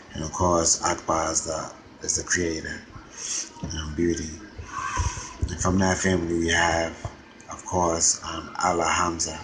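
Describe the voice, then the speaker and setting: A man's voice: low voice, background noise. An American man hosting his own show, delivering a rambling monologue full of ums and restarts. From United States. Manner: halting, with frequent ums and restarts. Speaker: host.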